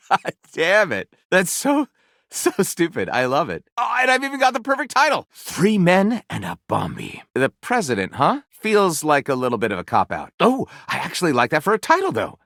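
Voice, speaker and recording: A man playing an excitable, sardonic, self-pleased pulp author in a comedic video game, with a dramatic, wry delivery and flippant asides. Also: fairly high pitch, moderate pace, gravelly, no room sound, studio quality, full character voice. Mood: amused, excited, then wry, teasing. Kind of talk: theatrical comedic game dialogue, mock-literary narrating.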